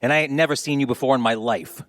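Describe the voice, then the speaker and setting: A man's voice: medium pitch, fast talker, heavy background noise, lightly acted. A man acting in a radio play as a wary, blunt, working-class taxi driver with folksy speech.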